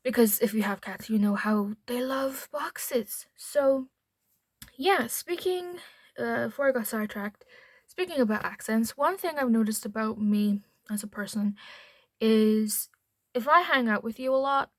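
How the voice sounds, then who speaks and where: A woman's voice: fairly high pitch, a little slow, dry recording. A woman with a mild Northern Irish accent chatting casually to camera at home, rambling into asides as a beauty vlogger. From Northern Ireland.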